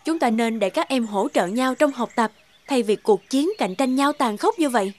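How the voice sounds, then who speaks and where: A woman voicing a kind, patient female teacher in an animated story, speaking politely and earnestly. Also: fairly high pitch, moderate pace, natural voice. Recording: music bed behind voice.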